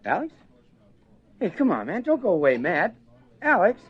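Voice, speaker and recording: A man's voice: high-pitched, wildly swooping pitch, normal speed, soft background music. A man playing an earnest, anxious teacher friend in a radio horror play, hesitant and coaxing. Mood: pleading. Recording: dull lo-fi radio recording.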